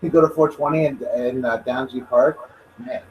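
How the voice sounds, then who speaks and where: Dude rambling and joking around, sounds far off the mic, bit of noise behind. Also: male voice, mid-range voice, steady tone, normal speed, lo-fi sound. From Ontario, Canada. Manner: rambling, jokey, leans heavily on "like". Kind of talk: casual podcast chat. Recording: distant mic, faint background noise.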